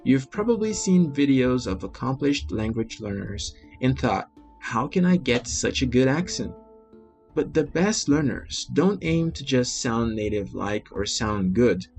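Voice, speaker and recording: Male host, Brazilian Portuguese accent, native Portuguese speaker, even article reading, faint music bed. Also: medium pitch. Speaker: language learning video host.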